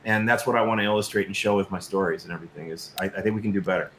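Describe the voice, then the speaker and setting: Middle-aged male guest, lowish voice, roomy echo with faint noise behind, emphatic and stammering in casual conversation. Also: fairly even intonation, racing, a bit bright. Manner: effusive, emphatic, stammering and repeating words. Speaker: guest, science fiction creator and designer. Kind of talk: casual interview chat.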